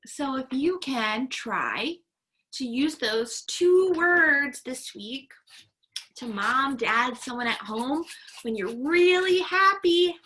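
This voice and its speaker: A woman teaching young children in a read-aloud lesson, encouraging them with sing-song, drawn-out key words and simple instructions. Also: high voice, animated, sharp tone, poor audio. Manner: encouraging, praising young learners.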